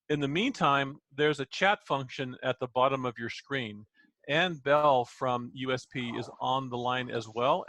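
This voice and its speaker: A man's voice: mid-range voice, bright, forceful tone, no room sound, low-quality recording. A middle-aged man hosting a webinar over a video call, businesslike and given to repeating words as he restarts. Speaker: host.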